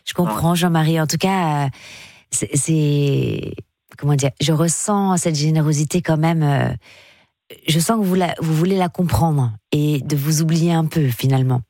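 A woman's voice: low-pitched, normal speed, mellow, dry recording. A woman hosting a late-night radio call-in show in a chatty way, talking things through with listeners from a studio microphone.

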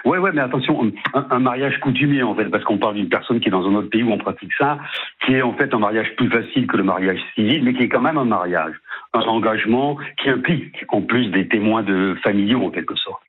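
A man in his fifties calling into a radio show, tired and halting, repeating words as he rambles. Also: fairly low pitch, breathy edge. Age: 50s. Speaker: caller. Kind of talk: phone-in confiding. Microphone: phone. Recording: tinny phone line.

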